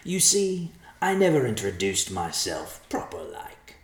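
A man's voice: fairly low pitch, very animated, slow, echoey room. A man voicing a greedy, sly little bearded bandit in an audiobook-style story, with folksy rustic grammar and a cocky, wheedling manner. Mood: menacing, gloating.

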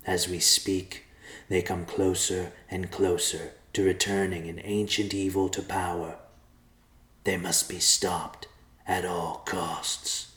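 Disembodied storybook spirit performed by a man: slow, breathy, whispery, solemn and commanding, low-pitched with echo. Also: little pitch movement, fairly bright tone, a little hiss or hum. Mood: ominous, solemn. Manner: slow, ominous, deliberate.

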